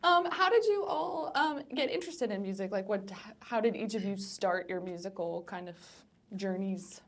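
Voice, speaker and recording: A woman asking questions in a casual interview, informal and a little halting as she phrases them. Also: mid-range voice, expressive. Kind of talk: casual oral history interview.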